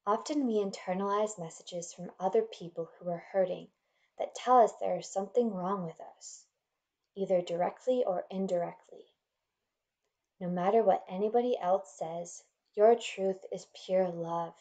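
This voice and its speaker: A woman leading a guided meditation in a gentle, soothing, measured manner, leaving pauses between instructions. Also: medium pitch.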